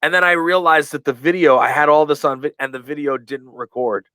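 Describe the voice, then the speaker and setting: A man being interviewed on a podcast, telling stories in a rambling way, full of fillers and restarts, and acting out quoted lines with lively voices. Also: fairly high pitch, fairly quick, clear, resonant tone, no echo. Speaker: guest, professional wrestler. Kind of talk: casual storytelling in a podcast interview.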